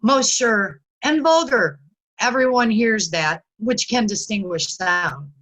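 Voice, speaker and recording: A woman playing a courteous gentleman messenger in readers' theatre Shakespeare, with formal, declarative delivery. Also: medium pitch, lively intonation, slow, low-quality recording.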